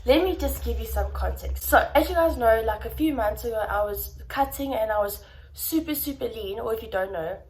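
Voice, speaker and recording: A woman's voice: fairly high pitch, a little fast, darkish tone, low-quality recording. A young woman vlogger, slightly blocked up and nasal, chatting casually and encouragingly straight to her viewers. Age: young adult. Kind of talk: casual talk to camera.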